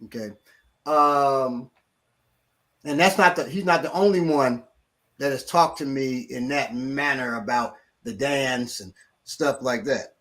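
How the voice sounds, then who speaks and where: A man's voice: medium pitch, takes it slowly. A middle-aged man, a martial arts instructor, telling a story in casual talk and acting out what others said. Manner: animated, acts out quotes of others.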